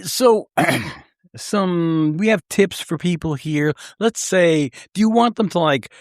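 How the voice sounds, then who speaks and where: A man's voice: fairly high pitch, expressive, takes it slowly, no room sound. An American man hosting a radio interview in a casual, bantering style, with slangy, exclamatory reactions, recorded in a studio. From Boston, USA.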